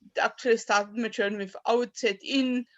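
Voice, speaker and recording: A woman in her fifties, a native German speaker from Austria, talks haltingly about her life as a poet, with many fillers and restarts. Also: on the high side, fairly even intonation. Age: 50s. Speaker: poet at an open mic. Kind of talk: personal talk and poetry reading. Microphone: video call. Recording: dull lo-fi video call audio.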